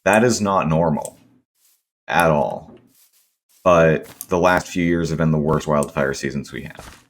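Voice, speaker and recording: A man with a hoarse, scratchy voice from a sore throat, rambling with many ums and self-corrections as he comments on his gameplay. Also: extremely low pitch, a little slow, pauses often. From Seattle area, US. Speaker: gaming video host.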